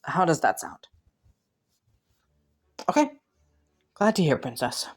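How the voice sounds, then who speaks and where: A woman's voice: low-pitched, very animated, takes it slowly, heavily acted. A woman playing a young scheming prince in a romantic audio roleplay, courteous and formal, with flustered stammers. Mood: businesslike, composed.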